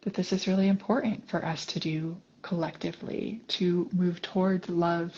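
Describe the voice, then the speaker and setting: Husky-voiced woman host, earnest. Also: on the low side, steady tone, moderate pace, dull audio. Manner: earnest, affirming, many soft agreements. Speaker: podcast host. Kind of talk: reflective conversation.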